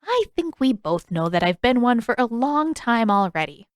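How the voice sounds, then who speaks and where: A woman's voice: fairly high pitch, sing-song, normal speed, pitched above the performer's own voice. A woman voicing an amused, kindly elderly healer with a teasing, genteel manner in a fantasy story. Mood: smug, teasing.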